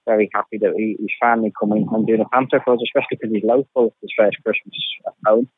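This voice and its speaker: Low-voiced man on a phone-like line. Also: steady tone, quick pace, dry recording. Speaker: interviewed pantomime producer. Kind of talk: informal radio interview answers. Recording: thin phone-like radio interview sound.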